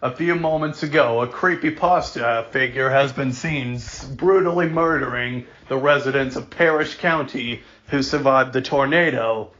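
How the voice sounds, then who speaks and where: A man reads a scripted mock emergency broadcast as the announcer, solemn, deliberate and emphatic, sometimes stumbling and repeating words. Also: medium pitch, fairly bright tone. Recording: dull lo-fi recording.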